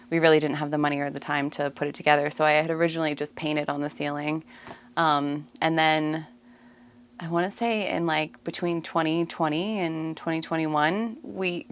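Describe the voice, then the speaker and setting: A woman's voice: deep voice, a bit bright, smooth. A woman giving a casual, conversational tour of her own home as its owner. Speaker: homeowner giving a house tour. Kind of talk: casual house tour narration.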